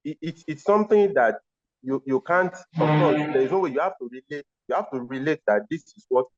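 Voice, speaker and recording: A man's voice: fairly high pitch, a little fast. A man hosting a football discussion and putting questions to his panelists. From Nigeria. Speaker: host. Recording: slightly dull, lo-fi audio.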